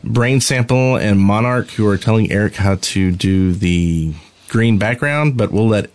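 A man's voice: lowish voice, measured pace, darkish tone, no echo. A man co-hosting a podcast in casual, conversational chat. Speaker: podcast co-host.

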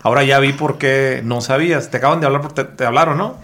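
A man's voice: mid-range voice, fast, a bit bright. A man hosting a podcast, asking friendly, rambling questions. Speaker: podcast host. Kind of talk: casual interview.

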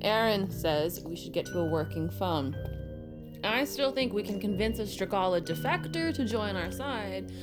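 Woman as a deadpan wisecracking teenage girl. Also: medium pitch, moderate pace, music in the background, natural voice. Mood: wry. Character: dry, wisecracking high school girl. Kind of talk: audio drama acting.

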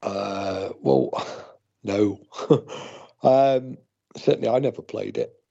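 Gravelly, husky British man chatting. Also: lowish voice, slow, mellow. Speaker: podcast co-host. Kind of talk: casual chat. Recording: dull lo-fi call audio.

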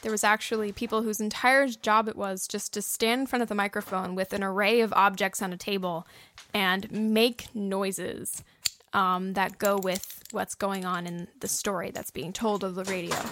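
A woman's voice: medium pitch, steady tone, moderate pace, a bit bright. A woman co-hosting a podcast, explaining things casually and chattily with plenty of examples. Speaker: podcast host and audio drama writer. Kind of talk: casual explanatory talk with co-host.

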